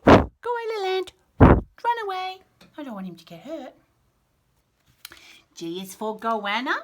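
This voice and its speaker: A woman with an Australian accent reading picture books aloud to toddlers in an animated, sing-song way, with chatty asides and questions to the child. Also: high-pitched, unhurried, heavy background noise. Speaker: children's picture book read-aloud host.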